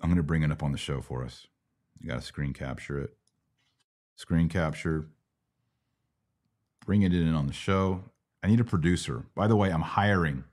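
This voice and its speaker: Very deep-voiced American man hosting. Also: normal speed, long pauses, a little mellow. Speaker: podcast host. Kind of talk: live Bible Q&A, casual teaching.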